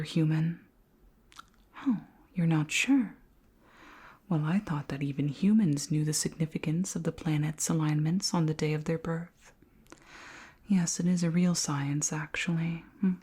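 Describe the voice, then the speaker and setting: A very breathy, whispering alien queen, acted by a woman in an ASMR roleplay. She is curious, polite and regal, speaking slowly and formally in a low-pitched, soft voice. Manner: slow, formal, deliberate questioning.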